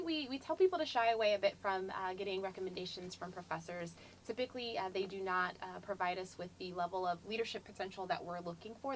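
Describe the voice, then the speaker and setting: A woman hosting an admissions Q&A, giving informal explanatory advice in measured phrases with hesitant pauses. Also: mid-range voice. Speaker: admissions Q&A host. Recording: faint background noise.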